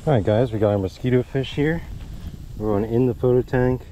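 A man's voice: mid-range voice, brisk, warm tone, slight background noise, poor audio. A man chatting easily and thinking aloud as he narrates a fishing trip at a marsh pond, recorded on a body-worn action camera. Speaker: fishing vlogger. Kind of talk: casual outdoor vlog narration.

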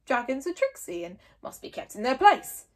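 A man's voice: very high voice, huge pitch swings, normal speed, full character voice. A woman doing a pompous Viking warrior. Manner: trying to sound noble and dignified. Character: pompous Viking warrior, noble-minded and boastful.

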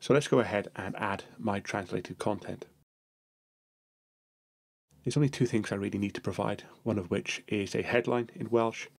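Low gravelly man narrating a tutorial. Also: normal speed, long pauses. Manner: steady, explanatory, step-by-step.

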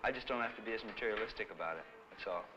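Fast-talking low-voiced young man, music behind. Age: teens or young adult. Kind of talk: earnest opinion statement. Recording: dull old film with music behind.

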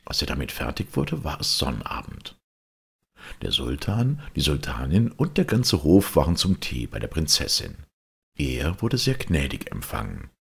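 Very deep, gravelly male storyteller. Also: wildly swooping pitch, measured pace. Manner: theatrical, varied. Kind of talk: expressive fairy-tale narration.

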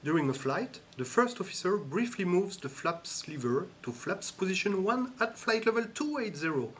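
A man giving scripted instructional narration in a measured, instructive way. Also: high voice, very animated, fairly bright tone, a little hiss or hum. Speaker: training narrator.

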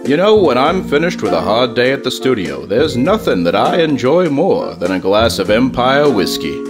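A man as an animated vintage radio pitchman. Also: moderate pace, close to an everyday voice. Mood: self-assured. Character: smooth-talking, self-assured TV star whiskey pitchman. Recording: guitar music behind.

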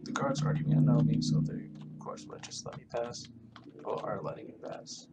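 A man's voice: medium pitch, brisk, very noisy. A man doing casual roleplay narration over his gameplay as the player character. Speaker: gaming roleplay video maker.

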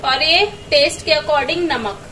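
A woman's voice: sits high, moderate pace, pushed and bright, recorded from a distance, a little hiss or hum, rough recording. A woman explaining a recipe step by step as she cooks in her home kitchen. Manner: step-by-step instructing. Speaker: home cook vlogger. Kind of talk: cooking demonstration.

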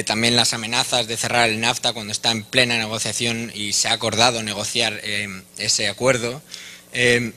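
Young man, journalist, flat delivery, very bright tone, lots of restarts. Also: lowish voice. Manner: halting, many restarts. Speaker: journalist in audience. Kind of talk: audience question. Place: large conference hall. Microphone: handheld mic.

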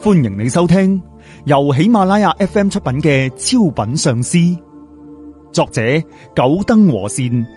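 A man's voice: on the high side, big pitch swings, unhurried, mellow. A man narrating a serial novel in a colloquial, chatty way, often putting questions to listeners. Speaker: audiobook narrator. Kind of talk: animated oral storytelling of a serial novel. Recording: music behind the voice.

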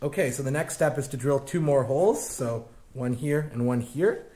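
A young man casually walking viewers through installing a snowmobile accessory in a garage workshop, relaxed and plain-spoken with many fillers. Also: medium pitch, moderate pace, husky. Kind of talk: casual step-by-step install walkthrough.

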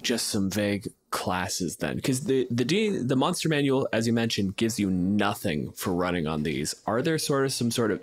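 A man's voice: on the low side, husky. A man chatting casually as a podcast host. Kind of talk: casual chat.

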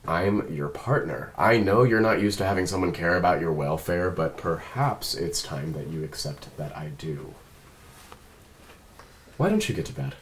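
A man playing a composed android police detective at a script read, formal, measured and precise. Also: sits low, expressive, rough recording. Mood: quietly concerned. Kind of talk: table read of a film script. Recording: some background room noise.

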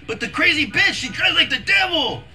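A man playing an excitable, high-strung, slangy car thief in a video game, with a melodramatic manner. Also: fairly quick, faint music behind, heavily acted. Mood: exasperated. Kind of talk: video game cutscene acting.

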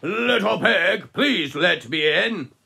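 A storyteller voicing a hungry, sly big bad wolf from a bedtime story, drawing out each word in a slow, menacing call. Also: mid-range voice, lively intonation, gravelly, bright, forceful tone, husky, pitched above the performer's own voice, heavily acted. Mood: coaxing. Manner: slow, drawn-out menacing calls.

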